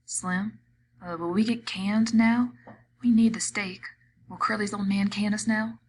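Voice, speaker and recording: A woman's voice: medium pitch, steady tone, measured pace, pitched above the performer's own voice, close to an everyday voice. A wiry, protective ranch worker barks orders in a raised, urgent voice, as a woman reader puts him on. The storybook reading has faint noise behind it. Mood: worried. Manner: yelling, urgent, commanding. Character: sharp-tongued small ranch worker, man, protective.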